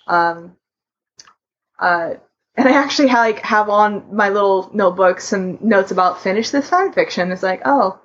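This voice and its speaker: A woman chatting casually as a podcast co-host, thinking aloud in a halting way. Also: medium pitch, lively intonation. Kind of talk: casual chat. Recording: dull lo-fi voice recording.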